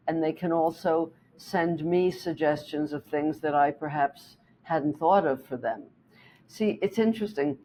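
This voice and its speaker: A woman real estate agent whose first language is German, calmly explaining her work and telling a client story in an interview. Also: low-pitched, a little hiss or hum, rough recording. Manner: steady, explanatory, with small restarts.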